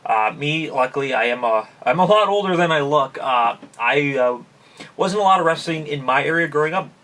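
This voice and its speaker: A man's voice: on the high side, expressive, normal speed, fairly bright tone. A man from Canada hosting a casual review vlog, chatty and laughing often, with lots of fillers. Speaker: YouTube channel host. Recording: voice somewhat distant from mic.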